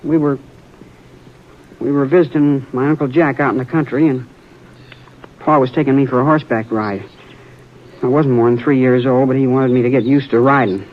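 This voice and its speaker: A man's voice: mid-range voice, fairly even intonation, moderate pace, pauses often, natural voice. An actor playing a troubled, frightened boy on a ranch, pleading and defensive, in a 1950s radio drama. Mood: confessing. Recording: dull old radio broadcast.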